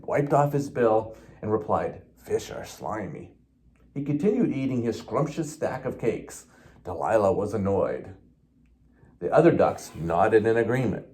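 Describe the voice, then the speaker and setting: A man's voice: fairly low pitch, mellow. A man, a police officer, reading a children's storybook aloud expressively, with the odd small stumble.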